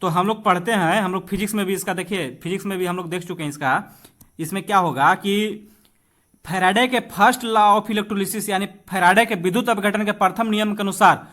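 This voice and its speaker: A man's voice: high voice, sharp tone. A man with an Indian accent teaching an exam-prep lesson, reading questions aloud and explaining them directly to students in an instructive way. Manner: instructive, addresses students directly, slows down when reading out English.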